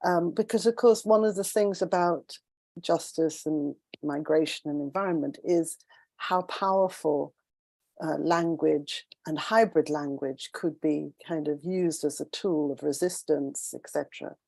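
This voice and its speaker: A woman with a somewhat low, mellow voice, recorded dry and close. She speaks as a panelist putting a question to the speakers, thinking aloud and rephrasing as she works toward her point. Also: unhurried, gravelly, dry recording. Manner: thoughtful, searching, frequent restarts and rephrasing. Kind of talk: panel discussion.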